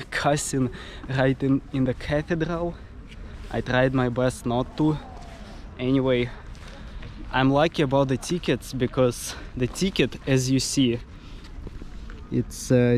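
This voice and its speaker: Slow-talking young Russian man vlogging. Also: medium pitch, a little mellow, slight background noise. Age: 20s. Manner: halting, with many fillers and restarts. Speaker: travel vlogger. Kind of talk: casual vlog talk to camera. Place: sightseeing spots around a city, partly outdoors.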